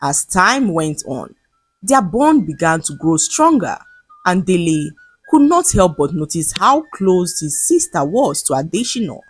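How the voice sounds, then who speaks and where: A woman narrates a folktale with a lowish, husky voice that rises and falls widely. Her delivery is measured and dramatic, like a practised storyteller. The recording is close and dry, with faint music underneath. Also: sing-song, no echo. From Nigeria.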